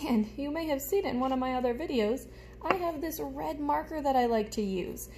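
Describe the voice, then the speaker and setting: A woman's voice: high voice, a little fast. Young woman teaching children, noisy room. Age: young adult. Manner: expressive, simple explaining aimed at young children. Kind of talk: children's show-and-tell lesson. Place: small room at home, indoors. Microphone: phone held close in front.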